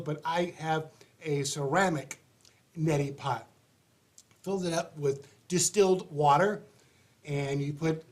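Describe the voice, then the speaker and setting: A man hosting an advice show, sounding congested and stuffy-nosed, talking in a rambling, chatty way with restarts. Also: fairly high pitch. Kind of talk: casual livestream monologue.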